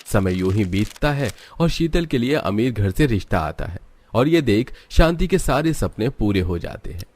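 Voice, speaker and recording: A man's voice: lowish voice, very animated, moderate pace, darkish tone. A man reading scripted storybook narration for a cartoon tale.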